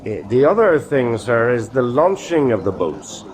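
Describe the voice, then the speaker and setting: Earnest engineer officer. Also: male voice, medium pitch, very animated, a little slow. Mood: earnest, methodical. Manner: deferential, methodical, matter-of-fact. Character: earnest, practical British naval engineer officer. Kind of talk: radio drama acting. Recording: crowd murmur behind the voice.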